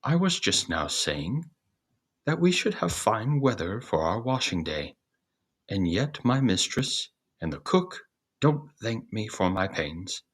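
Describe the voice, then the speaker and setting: Casting: loud, eager storybook rooster played by a man, voice lifted and swooping, husky edge, measured pace. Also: heavily acted. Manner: exclamatory, swooping high above the reader's voice. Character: boastful loud rooster, male, exclaiming and eager.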